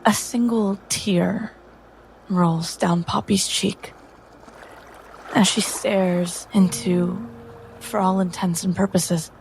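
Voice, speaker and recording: A woman's voice: mid-range voice, fairly even intonation, takes it slowly, creaky, pauses often, darkish tone, slight background noise, rough recording. A woman playing a tabletop game, narrating her character dramatically in the third person in broken phrases. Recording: music behind the voice.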